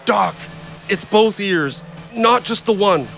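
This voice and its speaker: An actor playing an agitated, hard-up injured ex-logger who rambles bluntly in an audio play. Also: male voice, sits high, lively intonation, slow, gravelly, fairly bright tone, slight background noise, full character voice. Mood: insistent, upset. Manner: blunt, rambling, plain-spoken.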